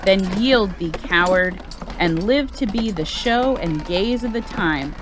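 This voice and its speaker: Woman performing vengeful warrior thane, fierce, Shakespearean verse, deliberate pacing, lively intonation. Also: mid-range voice. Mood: defiant, taunting. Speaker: amateur reader in library staff dramatic reading. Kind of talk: Shakespearean dramatic reading. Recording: noticeable background noise, clicks.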